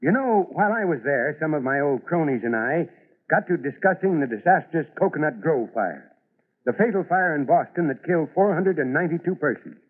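A man's voice: fairly high pitch, expressive, fairly quick. An American man playing an avuncular, earnest veteran fire chief giving a scripted old-time radio safety address to children. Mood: earnest, fatherly. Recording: phone-like vintage radio recording.

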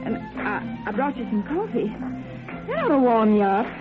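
High, coy country wife, muffled radio. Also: female voice, huge pitch swings, moderate pace. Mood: nagging. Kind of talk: old-time radio drama acting. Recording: muffled old radio, music behind.

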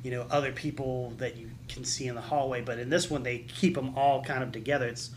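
A man explaining things casually in a group discussion, halting and often repeating his words as he goes. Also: mid-range voice, fairly even intonation, slight background noise. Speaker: podcast co-host.

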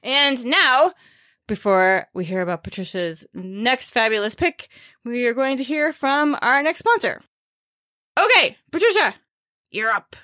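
A woman's voice: a little high, animated, a little slow, sharp tone, no room sound, clean studio sound. A woman hosting a book podcast, reviewing books in a chatty way with many fillers and asides. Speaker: podcast host. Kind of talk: casual book review chat.

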